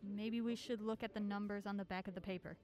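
A woman acting an anxious, quick-witted young woman on the run in a radio drama. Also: medium pitch, fairly even intonation, darkish tone, natural voice. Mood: relaxed, playful.

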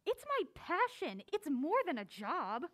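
A woman's voice: high-pitched, sing-song, unhurried, fairly bright tone, full character voice. An actress playing a mild-mannered film-obsessed woman with dry, wry banter in a naturalistic audio drama. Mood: proud, passionate.

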